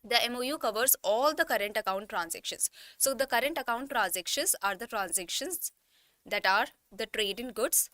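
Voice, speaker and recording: A woman's voice: fairly high pitch, fairly bright tone, no room sound, studio quality. A woman with an Indian English accent teaching an online exam-prep lesson, restating each term and checking in with her students.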